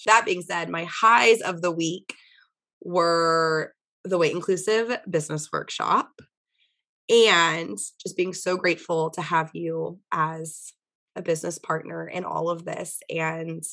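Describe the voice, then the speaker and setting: Woman, hoarse, voice almost gone, low-pitched, rambling casual podcast chat. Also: fairly bright tone. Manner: chatty storytelling with many fillers. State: hoarse, voice mostly gone from illness. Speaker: podcast co-host.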